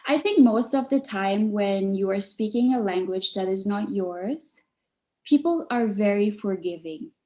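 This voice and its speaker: A woman's voice: mid-range voice, measured pace, darkish tone. Woman, Malaysian accent, webinar speaker, reassuring, checks in often, video call. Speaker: webinar speaker answering questions. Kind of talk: online webinar Q&A.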